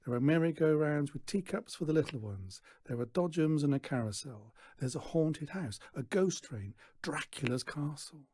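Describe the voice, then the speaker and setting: An expressive storyteller reads a children's novel aloud. The voice is husky and very lively in pitch, moving with animation through the prose. The sound is dry and close. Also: normal speed, few pauses, dry recording. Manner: animated, acts out dialogue. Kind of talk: expressive scripted narration.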